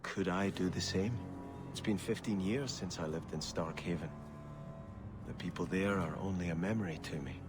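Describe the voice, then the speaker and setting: An actor playing a devout exiled prince torn between faith and throne in a video game, speaking earnestly and in measured phrases. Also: male voice, low-pitched, music in the background, lightly acted. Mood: earnest, conflicted.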